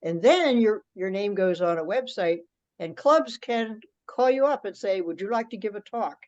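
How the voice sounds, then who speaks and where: A woman's voice: medium pitch, lively intonation, moderate pace, a little mellow. A woman giving an explanatory, conversational presentation over a teleconference. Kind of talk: informal spoken presentation on a teleconference. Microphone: teleconference call. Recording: dull lo-fi conference call audio.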